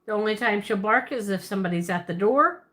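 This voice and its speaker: A woman's voice: medium pitch, fast talker, fairly bright tone. A woman from Pennsylvania with a Pennsylvania Dutch accent and a Southern twist, rambling casually and laughing as she answers viewers on a livestream. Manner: chatty and rambling, laughs often, self-correcting. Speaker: livestream host.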